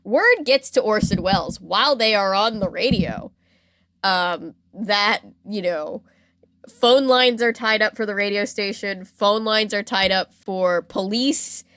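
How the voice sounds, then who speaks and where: Female podcast host, mid-range voice, casual animated storyteller who slips into quotes, very dry studio sound. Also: mid-range voice, measured pace, dry recording. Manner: animated, slangy, acts out quotes.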